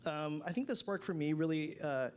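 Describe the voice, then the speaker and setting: A man's voice: on the high side, normal speed, smooth. A man from Canada, a city planner, answering on a live panel in an earnest and grateful way, speaking in short hesitant bursts into a stage microphone. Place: conference stage before a live audience.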